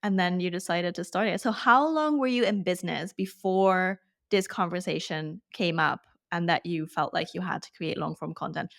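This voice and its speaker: A woman's voice: fairly low pitch, moderate pace, creaky, hardly any pauses, a bit bright, no room sound, clean studio sound. A woman hosting an interview podcast, speaking in an upbeat, enthusiastic way. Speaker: podcast host.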